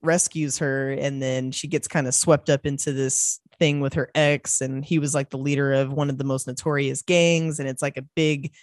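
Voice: mid-range voice, flat delivery, normal speed, hardly any pauses, dry recording, clean studio sound. A podcast co-host in casual film chat, rambling and laughing often. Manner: rambling, laughs often, acts out movie quotes.

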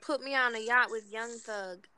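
A woman's voice: on the high side, normal speed, noisy background. A woman in her twenties chatting casually in a brash, blunt and boastful way. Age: 20s. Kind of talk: casual chat.

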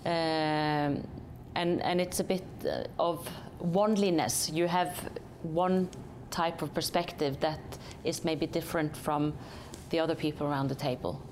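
A woman's voice: sits low, fairly even intonation, unhurried, a little mellow, slight background noise. A woman from Norway, a company chief executive, answering interview questions thoughtfully with many hesitations and restarts.

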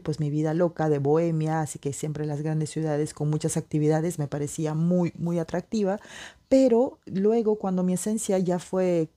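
A woman's voice: low voice, mellow. A middle-aged woman from the Amazon, talking casually to her viewers and wandering through her thoughts with many restarts and fillers. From Peruvian Amazon. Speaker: vlogger. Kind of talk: casual reflective monologue.

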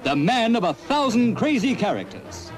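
A man announcing an old film trailer in a booming showman style, heard from an old film recording with music behind. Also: huge pitch swings, moderate pace. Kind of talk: 1950s film trailer narration.

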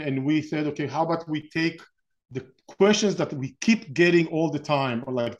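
A man in his forties from Israel with an Israeli accent, hosting an investing webinar in a rambling, explanatory way with frequent restarts. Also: a little high. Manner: many restarts and fillers, explanatory. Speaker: webinar host. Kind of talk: webinar presentation.

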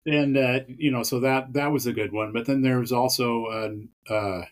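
A man's voice: medium pitch, fairly even intonation, moderate pace. A man chatting as a podcast guest, rambling with many fillers and restarts. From Pacific Northwest of the US. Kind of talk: casual interview chat.

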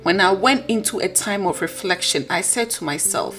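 A woman with a husky voice hosting a podcast, delivering an earnest, preachy motivational talk. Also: mid-range voice, lively intonation, normal speed, music in the background. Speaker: podcast host.

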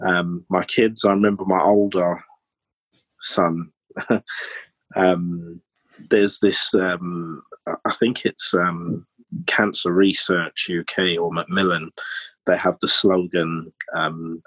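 Deep, slow, husky-voiced man. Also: little pitch movement, a bit bright, no echo, low-quality recording. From Trinidad. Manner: hesitant, many pauses and filler ums. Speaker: podcast guest. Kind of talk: candid personal conversation.

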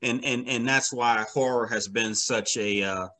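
Bright, forceful-voiced man in conversation. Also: medium pitch, steady tone, a little slow. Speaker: interview guest, comic book writer. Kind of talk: casual, reflective interview conversation.

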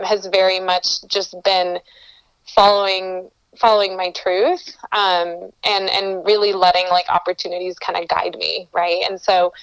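A woman's voice: mid-range voice, fairly bright tone, clear, resonant tone, dry recording, rough recording. A woman from Colombia, a guest calling in to an interview, answering in an earnest, reflective way and often restarting her phrases. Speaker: guest, entrepreneur. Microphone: remote call-in.

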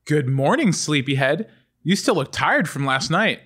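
A man's voice: a little high, lively intonation, a little slow, a bit bright, breathy edge, exaggerated voice. A man playing an affectionate, weary mother with a doting, wry manner in a comedy table read.

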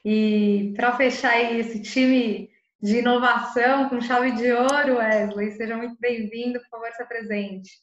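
A woman's voice: fairly high pitch, steady tone, measured pace, few pauses. A Brazilian woman moderating a panel in a conversational way, welcoming guests. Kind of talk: conversational hosting. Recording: echoey room, dull lo-fi sound.

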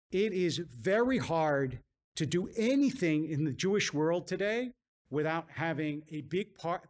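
An American man, a rabbi answering audience questions in a measured, careful way, often qualifying and repeating phrases for emphasis. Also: on the high side. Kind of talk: thoughtful public question-and-answer talk.

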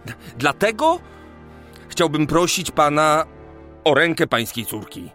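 An actor playing an earnest, nervous young suitor in a historical radio drama, speaking politely and hesitantly with some stammering. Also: male voice, a little high, very animated, a little slow, sharp tone, soft background music, exaggerated voice. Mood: nervous, pleading.

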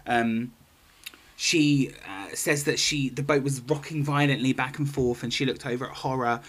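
A woman's voice: very deep voice, fairly even intonation, normal speed, slight background noise. A woman co-hosting a podcast in casual chat, informal and exclamatory, with jokey asides. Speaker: podcast co-host. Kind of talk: casual chat, retelling a magazine story.

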